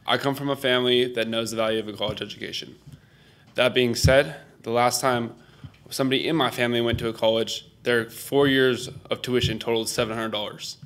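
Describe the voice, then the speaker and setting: A man's voice: mid-range voice, fairly even intonation, brisk, a bit bright. A young man, a college sophomore, giving an earnest prepared speech about his own path to college. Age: young adult. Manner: earnest, personal storytelling. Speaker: student speaker.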